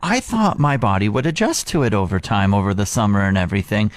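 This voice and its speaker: Lively, rambling male radio co-host. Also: lowish voice, fast talker, no room sound, clean studio sound. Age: 30s. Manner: lively, rambling, laughs a lot. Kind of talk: casual morning radio chat.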